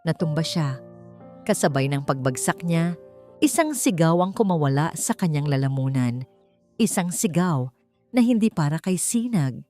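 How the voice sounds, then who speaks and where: A woman narrating a scripted story in a theatrical, suspenseful way with deliberate pauses. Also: sits low, lively intonation, a little mellow, soft background music. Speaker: audiobook narrator.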